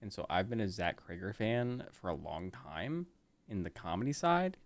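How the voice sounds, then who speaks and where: A man's voice: low-pitched, animated, moderate pace. A man bantering casually on a live stream in a teasing, joking way. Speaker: stream co-host.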